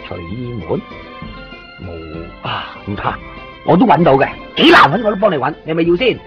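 A man acting an eager, chatty toy shop owner in a radio drama, rambling excitedly in Hong Kong Cantonese. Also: on the high side, fairly quick, highs cut off. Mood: keen to help. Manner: excitable, rambling, fond of showing off toys. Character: eager, chatty male second-hand toy shop owner, kind to customers. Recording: band-limited 1990s radio recording with faint music.

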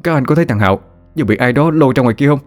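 A man's voice: mid-range voice, expressive, quick pace, soft background music, voice pushed up, lightly acted. A man voicing a frightened young man who is shaken after a dream of his dead friend, talking in an urgent, pleading way. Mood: startled.

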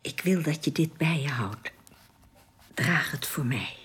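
An actress playing an earnest, tender, youthful alien woman in a radio drama, with precise, formal phrasing that often turns hushed. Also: deep voice, animated, unhurried, slightly rough, full character voice.

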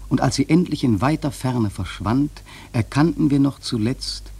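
A man acting a grave sailor who narrates a radio play in a measured, solemn literary style with dramatic swells and a husky voice. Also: medium pitch, a little mellow, dry recording. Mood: solemn, ominous. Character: grave male sailor narrating a haunted whaling voyage.

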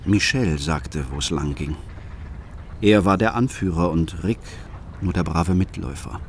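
An actor narrating a radio play as a troubled father, speaking in a calm, reflective, confessional way. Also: low voice, measured pace, a little hiss or hum. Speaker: first-person narrator.

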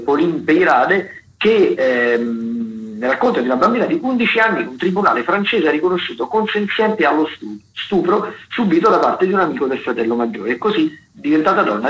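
An Italian man hosting a radio show, running through event listings in a semi-improvised way, often halting and correcting himself. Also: fairly high pitch, fairly bright tone, roomy sound, poor audio. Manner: halting, with self-corrections and trailing hesitations. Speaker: radio host.

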